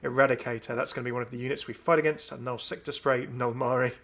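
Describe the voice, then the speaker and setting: A man hosting a gaming video with casual, enthusiastic commentary in a creaky voice. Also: medium pitch, steady tone, fast, a bit bright. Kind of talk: casual commentary on a game update.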